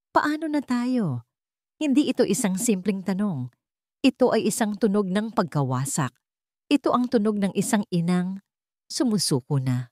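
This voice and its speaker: A woman narrating a scripted story in a measured, dramatic way. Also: low voice, darkish tone, no echo, clean studio sound.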